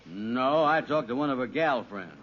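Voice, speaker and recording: An actor playing a gloomy, gullible sidekick with folksy, laconic speech in old radio comedy style. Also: male voice, mid-range voice, lively intonation, moderate pace, close to an everyday voice. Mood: worried. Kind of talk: 1940s-50s radio comedy acting. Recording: muffled old radio recording, faint noise.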